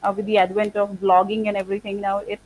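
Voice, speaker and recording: Punjabi-speaking indian woman, mid pitch, chatty interview answers, faint hum behind. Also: monotone, fast, darkish tone, poor audio. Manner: thoughtful, many "like" and "uh" fillers. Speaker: founder of an English training institute.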